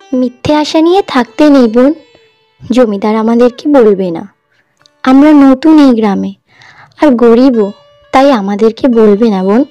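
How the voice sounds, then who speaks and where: A woman's voice: on the high side, moderate pace, pauses often, warm tone, no echo, highs cut off, soft background music, natural voice. A woman voicing a worried, sensible young elder sister from a poor village in a cartoon. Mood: resigned. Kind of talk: cartoon voice acting.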